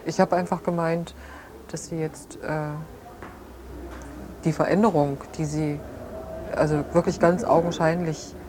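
An actress playing a measured, understanding woman, reflective and hesitant, in a naturalistic interview-style radio drama. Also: sits low, level tone. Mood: reflective, subdued. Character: measured, understanding woman recalling a fractured friendship. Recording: clearly noisy ambient location sound.

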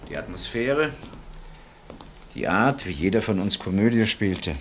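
A male actor playing a middle-aged husband in a radio play, wryly reflective and brooding, speaking with hesitant pauses and deliberate phrasing. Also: lowish voice, expressive, heavy background noise. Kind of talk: radio play acting, intimate dialogue.

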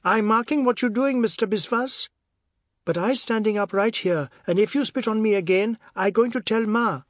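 A man voicing a whining, outraged young tattletale who shouts complaints in a Caribbean accent. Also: high voice, normal speed, no room sound, clean studio sound, voice pushed up, close to an everyday voice. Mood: outraged threat.

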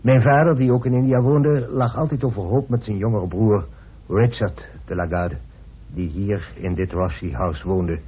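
An actor playing a courteous, grave gentleman telling of a family mystery in a radio play. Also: lowish voice, moderate pace, breathy edge. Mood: solemn, confiding. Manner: polite, solemn, storytelling. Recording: muffled, band-limited old radio recording.

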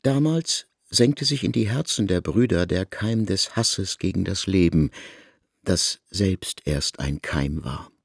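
A man narrating a literary radio drama in a measured, solemn way. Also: low voice, creaky, breathy edge, no room sound, clean studio sound.

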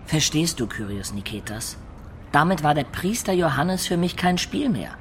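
A man's voice: on the high side, very animated, moderate pace, a little hiss or hum. An actor playing a young male adventurer who recounts his tale in a matter-of-fact way in a radio play. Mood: narrating. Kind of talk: German radio play narration and dialogue.

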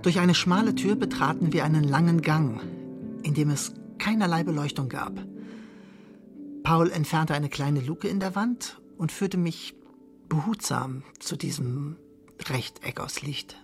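Brooding, measured husband narrator. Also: male voice, a little high, music in the background. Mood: hushed, observant. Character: brooding betrayed husband telling his own story. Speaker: actor narrating a radio drama. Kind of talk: literary first-person radio drama narration.

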